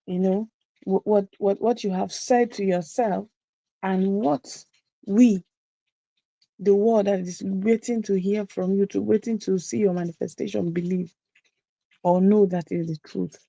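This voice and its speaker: A woman's voice: mid-range voice, fairly even intonation, unhurried, creaky, warm tone, dry recording, rough recording. A woman coaching a group through a motivational teaching session, speaking emphatically and repeating key phrases for stress. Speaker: visibility coach.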